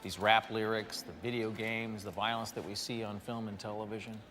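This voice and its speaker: A man playing an earnest American senator in a game, giving a formal, deliberate and diplomatic public statement. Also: lowish voice, little pitch movement, fairly bright tone, lightly acted. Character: earnest, measured male politician making public statements. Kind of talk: public address in a game cutscene. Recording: reverberant hall, faint noise.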